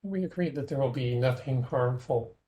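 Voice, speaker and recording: A man leading a guided imagery meditation in a gentle, measured way, leaving long pauses between phrases. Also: medium pitch.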